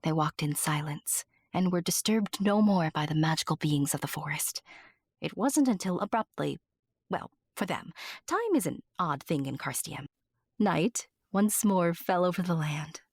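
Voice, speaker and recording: A woman giving scripted fairy-tale narration, addressing the listener with deliberate dramatic pauses. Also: fairly low pitch, lively intonation, husky, dry recording, studio quality. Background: music.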